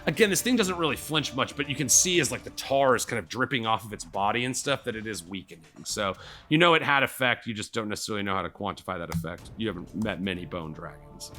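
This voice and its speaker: A man's voice: fairly low pitch, lively intonation, fast, bright, forceful tone, soft background music. A man improvising narration as the game master of a live tabletop game, casual, slangy and jokey.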